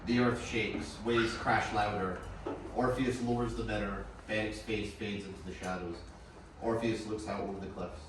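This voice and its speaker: Male narrator for a table read: very low voice, scripted stage directions, heavy echo and background noise. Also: steady tone, normal speed. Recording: very echoey room, far mic, clear noise.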